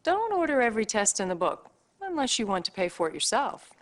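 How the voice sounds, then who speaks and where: A brisk female hospital staffer in a game cutscene. Her voice sits fairly high and moves with very lively pitch. She instructs, scolds and encourages in a pointed, teasing manner. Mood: brisk, scolding. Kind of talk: acted game cutscene dialogue addressed to the player.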